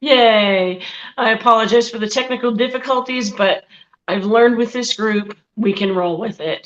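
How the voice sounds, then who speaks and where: A woman guest on a video call speaking earnestly and warmly about her friends. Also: mid-range voice. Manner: earnest, heartfelt. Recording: muffled video call audio.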